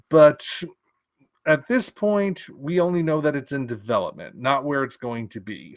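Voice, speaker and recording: A man's voice: mid-range voice, no room sound, studio quality. A man giving casual opinion commentary on science fiction news, musing aloud with small restarts and fillers.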